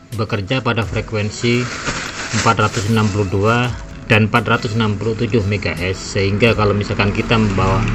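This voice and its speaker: A man giving a measured, step-by-step tutorial voice-over as a gadget reviewer. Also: on the low side. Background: background music.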